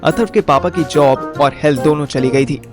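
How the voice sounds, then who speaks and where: Narrator-lead for audio drama: young man, slightly high pitch, first-person, casual and slangy Hinglish delivery. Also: fairly quick, over music.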